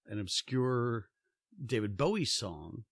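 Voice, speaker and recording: A man, a singer-songwriter guest, chatting casually with quick laughs and stammered restarts. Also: medium pitch, animated, creaky, breathy edge, no room sound, studio quality. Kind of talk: casual interview chat.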